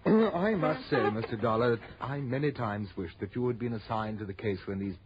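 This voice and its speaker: Actor as a very British, lively-voiced gallery owner. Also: male voice, mid-range voice, a little fast, lightly acted. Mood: courteous, fretful. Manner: formal, polite, hesitant ums. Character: very British, fussy, courteous older art gallery owner. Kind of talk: 1960s radio drama acting. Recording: dull, noisy old radio recording.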